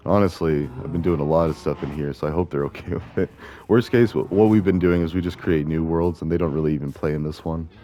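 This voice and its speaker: A man with a gravelly voice, chatting casually and rambling as he plays a video game, often correcting himself. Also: very deep voice, quick pace, mellow, muffled recording, slight background noise. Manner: chatty, meandering, self-correcting. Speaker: gaming video host. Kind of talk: casual rambling commentary while playing.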